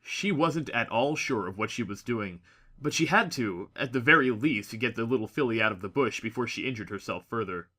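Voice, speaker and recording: Guy doing audiobook narration, really bright forceful voice, medium pitch, expressive storytelling. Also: a little fast. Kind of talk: scripted dramatic story narration.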